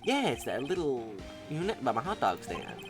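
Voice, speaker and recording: A woman voicing a goofy, boastful young male hot dog seller from a video game, in a slangy, excitable drawl. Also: a bass voice, normal speed, over music, full character voice. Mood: boastful, easygoing.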